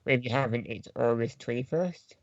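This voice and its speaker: A man with a creaky, fry-edged voice talks casually as a panellist on a gaming podcast. The sound is close and dry, slightly dull at the top. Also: medium pitch, normal speed, no echo. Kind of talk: casual chat about video games.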